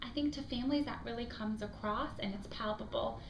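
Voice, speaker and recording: A woman's voice: fairly high pitch, brisk, creaky. A woman from Afghanistan, raised in the United States, with an American accent, who works as a school admissions director and answers interview questions in an earnest, reflective way with frequent ums. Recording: echoey room with background noise.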